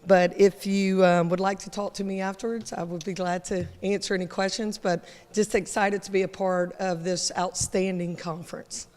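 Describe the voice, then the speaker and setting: A woman speaks as a college coach at a media event. Her voice is smooth and clean, at a middling pitch. It rings out with long reverb in a large hall. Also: level tone, moderate pace, few pauses, a little mellow. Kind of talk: informal prepared remarks at a media day.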